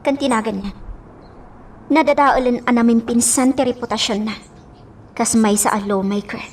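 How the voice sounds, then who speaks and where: A woman's voice: fairly high pitch, moderate pace, far from the mic, natural voice. A woman playing a heartbroken young lady in a radio drama, bitter and wistful, with emotive delivery. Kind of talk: Ilocano radio drama acting.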